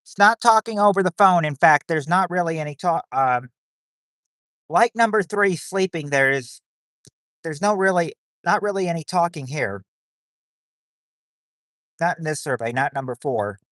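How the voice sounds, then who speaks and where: A man's voice: a little high, expressive, moderate pace, long pauses, a bit bright, dry recording. A man hosting a livestream of a quiz game, rambling as he reads chat and greets viewers.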